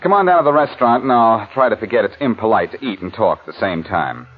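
On a muffled old radio recording, a man plays a cool American sleuth with a low, lively voice. He is flippant and teasing, tossing off wisecracks in classic 1940s radio drama style. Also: quick pace, close to an everyday voice. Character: cool wisecracking adult male amateur sleuth.

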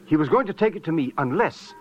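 Actor as formal rich gentleman, highish voice. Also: animated, fast, faint music behind, close to an everyday voice. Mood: anxious, earnest. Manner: polite, formal, precise. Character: earnest, formal, self-important rich male fiancé. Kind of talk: 1940s radio drama acting. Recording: dull, faintly noisy old radio recording.

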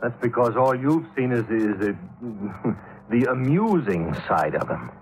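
A man's voice: mid-range voice, lively intonation, a little slow, close to an everyday voice, poor audio. An actor playing a worried, exasperated American insurance man, blunt and insistent, in a 1950s radio drama. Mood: weary, earnest. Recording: vintage 1950s radio recording.

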